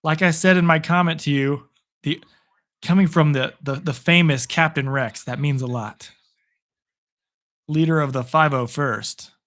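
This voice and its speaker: A man hoarse from hours of talking, giving live play-by-play and chatting upbeat with viewers on his stream. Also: a little high, a little slow, long pauses, a bit bright. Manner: chatty, upbeat, reads viewer comments aloud. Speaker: sports streamer. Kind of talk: live play-by-play and chat reactions.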